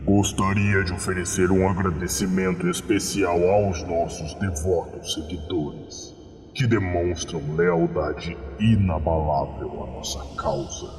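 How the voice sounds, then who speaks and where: A man playing a solemn, ceremonious cult priest of dark ancient gods, preaching as if at a ritual in a horror podcast. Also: low voice, fairly even intonation, a little hiss or hum, voice pushed down. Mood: solemn, reverent. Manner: solemn, ceremonious, drawn-out delivery. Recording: long cavernous reverb with music behind.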